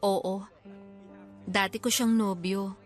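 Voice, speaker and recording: A woman's voice: medium pitch, fairly even intonation, slow pace, lightly acted. A woman acting an irritable, sarcastic young woman in a Filipino radio drama, scolding and blunt. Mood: subdued, reproving. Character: irritable, sarcastic injured young woman, restaurant head cook. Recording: music bed behind the voice.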